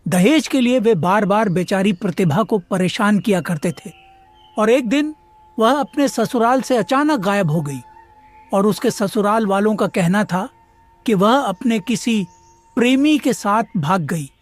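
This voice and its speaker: A man's voice: high-pitched, expressive, unhurried, soft background music. An actor playing a kindly, sorrowful elderly man in a dramatised moral story, speaking plainly and with sympathy. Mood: sorrowful, sympathetic.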